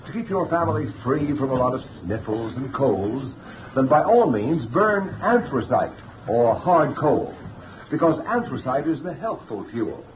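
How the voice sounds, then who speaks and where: A tinny, slightly noisy old radio recording of a male announcer. He has a medium-pitched voice with very animated intonation, selling emphatically in polished 1930s style. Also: unhurried. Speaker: commercial announcer.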